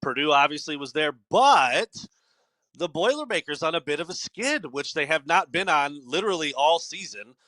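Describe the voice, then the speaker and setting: A forty-year-old man hosting a sports podcast, his voice bright and forward. He chats loosely and casually, full of fillers and restarts. The sound is dry and close. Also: a little high, lively intonation, normal speed, dry recording, rough recording. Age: 40s. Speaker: podcast host.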